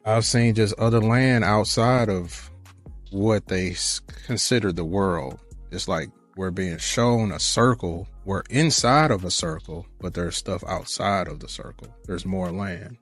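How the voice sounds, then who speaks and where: Man, very low voice, informal, earnest, matter-of-fact, casual conversation, faint music underneath. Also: measured pace. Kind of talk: casual chat.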